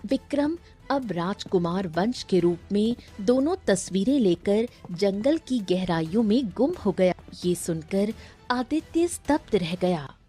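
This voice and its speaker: A woman's voice: mid-range voice, expressive, a little slow, mellow, slightly rough, soft background music. A woman narrating a scripted story as its storyteller.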